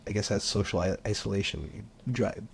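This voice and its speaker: A man's voice: lowish voice, a little fast, gravelly, a little hiss or hum. Young man chatting over faint ambience. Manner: loose, filler-heavy, opinionated. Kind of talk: casual chat.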